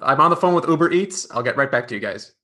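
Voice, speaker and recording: A man improvising a screenwriter in a comedy scene, chiming in with eager, quick interjections. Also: mid-range voice, expressive, sharp tone.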